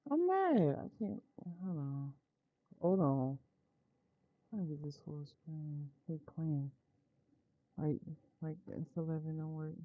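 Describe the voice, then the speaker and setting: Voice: mid-range voice, expressive, slow, pauses often, dry recording. An androgynous-sounding American game streamer speaks in a mid-range voice, informal and unfiltered. The talk is casual, muttered and sweary, aimed at live viewers. The sound is dry and close but a little dull and lo-fi. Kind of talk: casual live game stream chat with read-aloud visual novel text.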